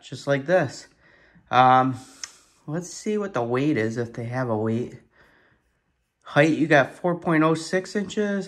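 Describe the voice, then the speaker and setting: A man giving a casual, excited hands-on product review at his kitchen table, thinking aloud and swearing in surprise. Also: on the high side. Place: kitchen table at home, indoors.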